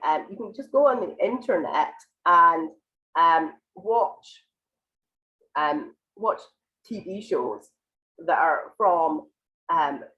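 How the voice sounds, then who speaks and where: A woman teaching an online IELTS writing lesson, croaky and congested from a cold, explaining patiently with many ums and restarts. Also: low-pitched, a bit bright, lo-fi sound. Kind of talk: live online lesson, answering viewer questions.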